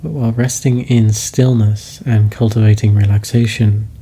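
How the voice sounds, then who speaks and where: A man leading a guided meditation, speaking slowly and calmly in soft instructions. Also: lowish voice, breathy edge. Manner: slow, calm, soft-spoken instructions.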